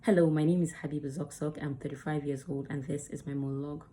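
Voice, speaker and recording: Female acting coach, chatty and emphatic, with a low, warm voice. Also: steady tone, quick pace. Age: adult under 35. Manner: chatty, emphatic, demonstrates lines. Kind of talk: casual teaching to camera.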